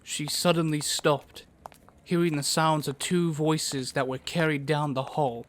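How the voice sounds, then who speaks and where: A man's voice: a little high, flat delivery, unhurried, a little hiss or hum. A man reading scripted narration for a fantasy audio story.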